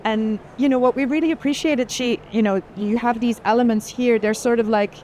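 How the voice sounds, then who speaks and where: A woman's voice: a little high, steady tone, brisk, darkish tone. A woman speaking as an art curator in a thoughtful, unscripted discussion, qualifying and repeating words as she thinks. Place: indoor art fair, walking among stands. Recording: faint busy fair hall noise.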